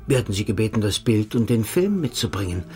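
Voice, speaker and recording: A smug, sinister secret agent. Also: male voice, fairly low pitch, fast, soft background music. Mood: coldly mocking. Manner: calm, mocking, sinister, drops to hushed tones. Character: cold, smug male agent of a secret service, middle-aged. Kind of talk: radio play acting.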